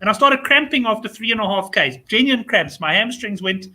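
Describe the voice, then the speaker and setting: A South African man with a raspy voice, hosting a casual, chummy chat show. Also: high voice, expressive, fairly quick, bright, forceful tone. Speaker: show host.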